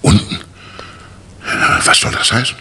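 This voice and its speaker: An actor playing a testy, formal professor in a 1960s radio crime drama, with a gravelly, husky, hesitant delivery. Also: male voice, measured pace, sharp tone. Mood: startled, disbelieving. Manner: formal, indignant, hesitant 'äh'. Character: testy, startled male professor with an organ.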